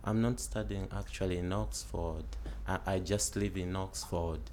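A man's voice: deep voice, fairly even intonation, normal speed, darkish tone, slight background noise. A man in his late twenties from Uganda, an art student answering interview questions in a hesitant, casual way with many fillers. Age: 20s. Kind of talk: casual oral history interview.

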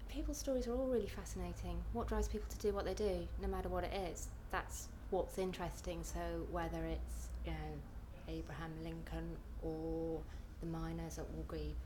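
A woman's voice: fairly low pitch, fairly even intonation, moderate pace, few pauses, noisy background. A young Scottish woman answering interview questions in a chatty, thoughtful way, with many fillers. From Scotland. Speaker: interviewee, TV researcher.

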